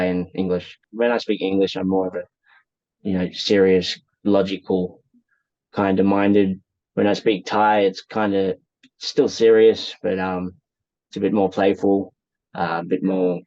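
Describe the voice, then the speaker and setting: A man's voice: sits low, darkish tone, dry recording. A young man, chatting easily as an interview guest, rambling with restarts, on a dull lo-fi recording. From Australia. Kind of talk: casual conversation.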